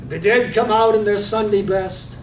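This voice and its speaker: A man playing the lyrical, measured narrator in a staged reading of a poetic radio drama. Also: high voice, natural voice. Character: lyrical, measured storyteller of a night-time town. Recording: roomy, distant mic, faint noise.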